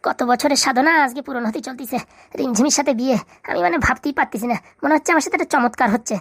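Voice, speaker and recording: Lady doing excitable young bengali guy in a funny cartoon, talks super fast, dry sound. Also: high voice, dry recording. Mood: dreamy, excited. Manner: chattering, eager, colloquial. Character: scheming, excitable young man eloping with his sweetheart. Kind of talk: comic cartoon dubbing.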